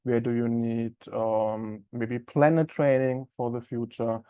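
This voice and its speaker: A man from Germany with a German accent presenting a live software webinar in a friendly, conversational way with many ums and uhs. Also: medium pitch, flat delivery, a little slow, smooth, no room sound.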